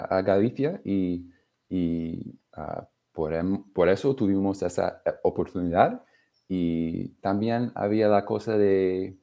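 Slow, halting man with a deep voice. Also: warm tone. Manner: halting, searching for words, many fillers. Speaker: singer-songwriter guest. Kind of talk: interview answers.

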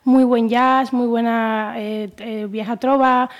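A woman's voice: on the high side, fairly even intonation, slow pace. A woman in her twenties from Havana with a Cuban accent, chatting casually and playfully as an interview guest. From Havana, Cuba. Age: 20s. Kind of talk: casual chat.

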